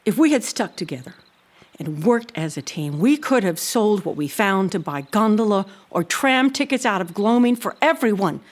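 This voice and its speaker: An actress playing a kindly, reflective housekeeper in an audio drama, speaking in a grave, deliberate way. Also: female voice, mid-range voice, animated, lightly acted. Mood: sombre.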